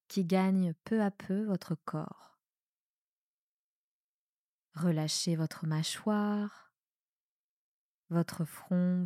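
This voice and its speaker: A woman with a soft voice guiding a relaxation meditation in a calm, soothing manner with measured pauses. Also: fairly low pitch, no echo, clean studio sound. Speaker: yoga nidra guide. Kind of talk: guided meditation, relaxation instruction.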